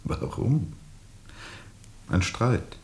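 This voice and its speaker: A man playing a brooding, cynical ship captain in a German radio play, speaking sardonically and deliberately with weighty pauses. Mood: weary, ironic.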